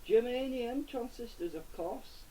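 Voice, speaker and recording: A man's voice: very high voice, lively intonation, measured pace, dark tone, slight background noise, poor audio. A man gives casual unscripted commentary while doing a hands-on repair, thinking aloud and muttering to himself on an amateur camera recording. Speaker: hobbyist electronics repairer presenting his own video.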